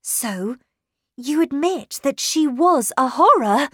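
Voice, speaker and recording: An anxious young governess in a storybook tale, as a woman narrator plays her, pitched very high and swooping with emphasis. She is insistent and impatient, easily horrified, and urgent in her delivery. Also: animated, slow pace, dry recording, studio quality.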